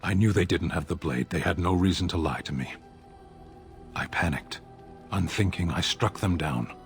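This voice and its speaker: Grave, blunt fantasy game warrior, male, very low pitch, measured formal delivery, music behind. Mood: grave, confessional. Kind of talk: video game dialogue acting.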